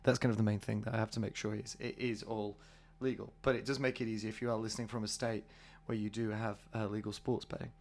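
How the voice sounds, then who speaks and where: Husky British man with a cold. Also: fairly low pitch, little pitch movement, quick pace, few pauses, a little mellow. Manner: rambling, chatty, many fillers. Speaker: podcast host. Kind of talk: casual solo podcast talk.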